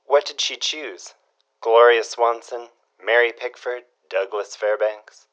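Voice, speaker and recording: A man's voice: mid-range voice, slow, fairly bright tone, clear, resonant tone, dull audio. An actor playing a curious, folksy man with dry, old-fashioned turns of phrase, prying for gossip in a period audio drama. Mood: surprised, prying.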